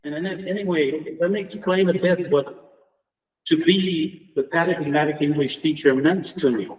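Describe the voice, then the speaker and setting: A middle-aged man from Romania with a Romanian accent, a native Romanian speaker giving a reflective memorial tribute as an English department lecturer, measured and halting with restarts. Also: fairly high pitch, recorded from a distance, low-quality recording. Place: lecture room at a memorial round table.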